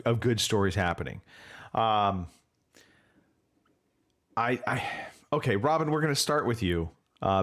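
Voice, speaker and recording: A man hosting a podcast in an upbeat, casual manner, his pitch rising and falling a lot as he talks. His voice sits at a middle height. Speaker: podcast host. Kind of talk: casual chat.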